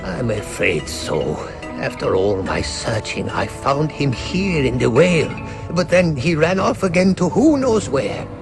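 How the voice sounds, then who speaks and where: A kindly, fretful old father from a video game. His voice is gravelly and quavers as it swoops up and down at a slow pace, with music playing behind it. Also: mid-range voice, sing-song. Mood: worried. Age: older.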